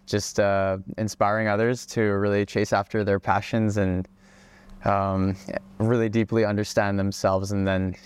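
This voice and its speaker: Easygoing young man, smooth low voice. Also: a little slow. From Czech Republic, raised in Hawaii. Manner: relaxed, many fillers and restarts, laughs often. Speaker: guest, travel creator. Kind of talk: casual podcast interview.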